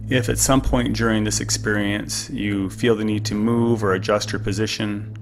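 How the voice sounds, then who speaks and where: A man leading a guided meditation in a gentle, deliberate, soothing way with pauses between phrases. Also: on the low side, level tone, a little hiss or hum. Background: background music.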